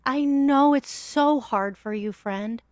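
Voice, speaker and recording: A woman's voice: high-pitched, darkish tone, dry recording. Cutting woman with a dry close voice. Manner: cutting, measured insults. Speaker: panelist on a live-stream debate. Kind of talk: heated political debate.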